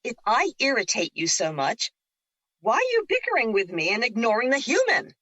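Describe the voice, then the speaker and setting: A woman's voice: on the high side, wildly swooping pitch, normal speed, a bit bright, no echo, full character voice. A woman playing a playful, unafraid female spirit in an audio drama, wry and needling in manner.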